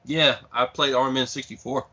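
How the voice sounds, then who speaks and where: A man's voice: mid-range voice, fairly quick, breathy edge. Twenty-seven-year-old man reminiscing, lo-fi. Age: 20s. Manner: reminiscing, earnest, steady. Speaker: podcast guest. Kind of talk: casual chat. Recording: dull lo-fi podcast mic.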